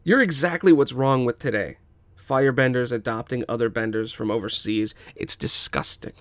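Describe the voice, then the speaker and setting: A man's voice: mid-range voice, normal speed, no room sound, close to an everyday voice. A man voicing a bigoted, hostile firebender bully in a scripted table read. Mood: disgusted.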